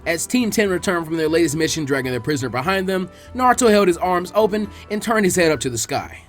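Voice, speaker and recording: A man narrates an action story in a fairly high voice with highly varied intonation. It is a scripted, dramatic audiobook reading, with music playing underneath. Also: lively intonation, fast. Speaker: fan fiction reader.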